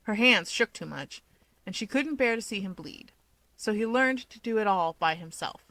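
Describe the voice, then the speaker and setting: A woman reading a scripted story aloud as an expressive narrator. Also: mid-range voice, moderate pace, dry recording. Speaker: fiction narrator.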